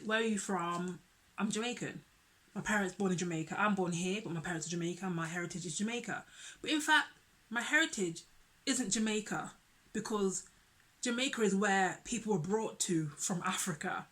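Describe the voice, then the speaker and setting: A young woman vlogging to camera in an earnest, expressive way, repeating herself for emphasis. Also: fairly low pitch. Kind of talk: casual vlog talk to camera.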